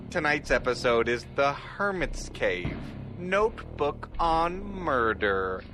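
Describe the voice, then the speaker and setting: A man hosting a horror show, delivering a theatrical, mock-sinister spooky introduction in a hammy, drawn-out way. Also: on the high side, big pitch swings, sharp tone, slight background noise. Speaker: host of a horror radio show rebroadcast.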